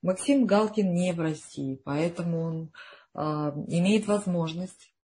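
Husky opinionated woman. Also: lowish voice, measured pace. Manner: frank, opinionated, drops to a quieter tone. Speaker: podcast guest. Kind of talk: casual conversation.

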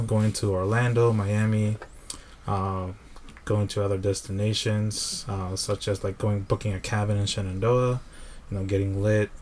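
Casual male podcast guest, age thirty, lowish voice, easygoing rambling delivery. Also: a little hiss or hum. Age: 30s. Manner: relaxed storytelling, many fillers. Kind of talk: casual chat.

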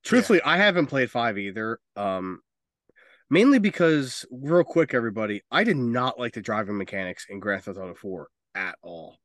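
A man with a mid-pitched, very animated voice co-hosts a pop-culture podcast. He talks casually and enthusiastically, joking and swearing freely. The recording is dry and close. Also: normal speed, dry recording. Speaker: podcast co-host.

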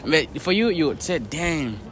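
A man's voice: a little high, sing-song, measured pace, a little hiss or hum. A young man from Cameroon, a podcast guest talking earnestly in casual chat with many "like" fillers.

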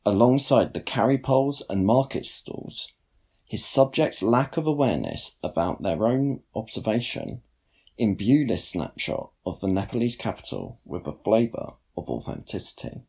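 A young man narrates a written piece in a low, creaky voice. His delivery is measured and formal, with careful phrasing and plenty of rise and fall in the intonation. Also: very animated, mellow. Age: young adult. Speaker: student writer reading own blog post. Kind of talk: scripted narration, read aloud.

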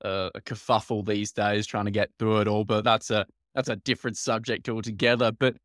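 A male host asks long questions in a low voice, stopping and restarting often as he builds up each one. The sound is dry and close, with no room around it. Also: level tone, a bit bright, dry recording. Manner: halting, rambling questions with many restarts. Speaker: podcast host. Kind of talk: interview questions.

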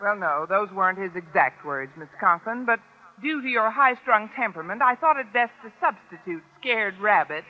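An American actress playing a wry, scheming high school teacher with a dry, mock-deferential manner in an old-time radio comedy. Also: female voice, animated, normal speed, lightly acted. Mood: sly, mock-deferential. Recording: muffled vintage radio recording.

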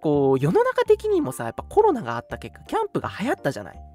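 Fast-talking, animated young man chatting casually. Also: fairly high pitch. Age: young adult. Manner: agreeable, chatty, frequent backchannels. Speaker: radio show co-host. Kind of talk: casual chat. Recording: music bed under the talk. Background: background music.

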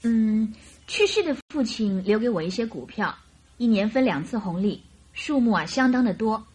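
A woman's voice: on the high side, lively intonation, moderate pace, a little mellow, breathy edge. An actress playing a cheerful, chatty young woman typist in a radio drama, girlish and emphatic. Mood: proud, chatty. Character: plump, cheerful, chatty young female typist in her early twenties.

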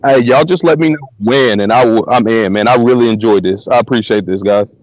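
Guest dad, deep voice, laid-back slangy storyteller, dull dry line. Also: male voice, a little fast, no room sound. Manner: relaxed, slangy, repeats words as he talks. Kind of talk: casual storytelling interview.

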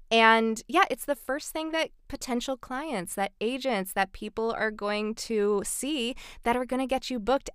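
A woman's voice: lowish voice, a bit bright, clean tone, no echo, studio quality. A woman co-hosting a podcast, explaining things in a conversational way. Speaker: podcast co-host, voice acting professional.

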